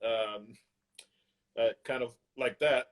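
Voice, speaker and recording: An American man with a mid-pitched voice tells a story as a guest on a casual chat show. He speaks in a lively, relaxed way over a home video call. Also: slow pace. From United States.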